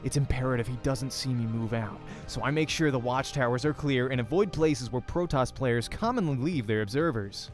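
A man's voice: medium pitch, moderate pace, hardly any pauses, faint music behind. A young man hosting a let's play, reading a visual novel aloud in the first person with dramatic storytelling.

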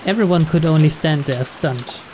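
A man's voice: fairly high pitch, takes it slowly, a little mellow. A man reading scripted story narration aloud. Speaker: reader of on-screen story text. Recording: clear rain noise behind.

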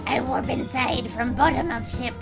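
Woman doing a high, gluttonous oddball creature. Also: normal speed, vocal fry. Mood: jolly. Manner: broken grammar, simple blunt phrasing. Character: gluttonous, jolly, odd food-obsessed creature. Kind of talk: video game character read-aloud. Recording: game music behind.

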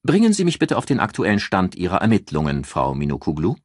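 A man voicing a jovial yet menacing state prosecutor in an audiobook, speaking formally and pompously with deliberate emphasis. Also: deep voice, wildly swooping pitch, husky, no echo, clean studio sound, exaggerated voice. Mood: cool, commanding. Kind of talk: audiobook character dialogue.

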